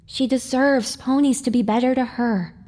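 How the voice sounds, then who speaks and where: A woman's voice: fairly high pitch, fairly even intonation, moderate pace, mellow, close to an everyday voice. A woman playing a young, stubborn, idealistic pony heroine in an audio drama, with a sassy but earnest manner. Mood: earnest, pleading.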